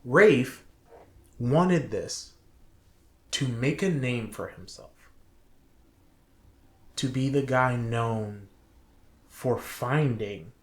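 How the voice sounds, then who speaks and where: Male show co-host, unhurried and halting, chatting casually in an echoey room. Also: medium pitch, lively intonation. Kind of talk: casual film and game review discussion. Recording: roomy, echoing sound.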